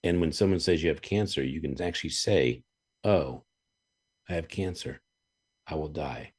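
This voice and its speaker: A man hosting a podcast, chatting casually and telling animated stories with chuckles and frequent throat-clearing. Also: extremely low pitch, normal speed. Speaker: podcast host. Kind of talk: casual interview chat.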